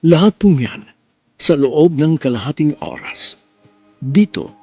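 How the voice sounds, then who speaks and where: A man hosts and narrates a radio romance drama in earnest, sentimental tones. His voice sits somewhat high and rises and falls with plenty of expression. Soft music plays faintly behind him. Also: expressive, measured pace, husky, dry recording.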